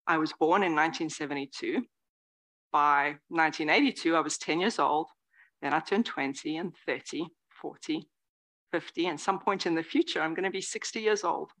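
Woman, 40s, Zen teacher, slightly low voice; lively conversational talk with whispered asides. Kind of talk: dharma talk. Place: meditation hall before a live audience.

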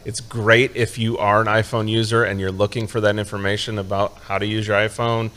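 A man hosts a live show in an easygoing, chatty way. His voice sits on the low side. He is from upstate New York and keeps the talk loose and friendly. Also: little pitch movement, fairly quick, a bit bright. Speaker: show host. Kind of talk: casual live show chat.

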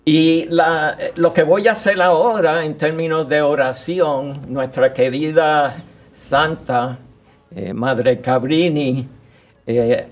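Slow Puerto Rican radio host. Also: male voice, on the high side. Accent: Puerto Rican Spanish. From Puerto Rico. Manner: slow, halting, many fillers.

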